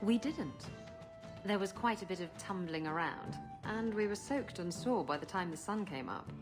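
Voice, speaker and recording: A woman's voice: mid-range voice, lively intonation, normal speed, lightly acted. An actress playing a flirtatious, boastful female pirate captain in a video game. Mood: boastful, sultry. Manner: flirtatious, playful, teasing. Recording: background music.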